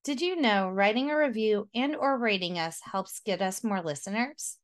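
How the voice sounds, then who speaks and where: A woman in her thirties from Florida co-hosting a casual podcast, her voice a little hoarse, laughing often. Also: mid-range voice, expressive, normal speed. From Florida, United States. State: slightly hoarse. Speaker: podcast co-host. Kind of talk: casual chat.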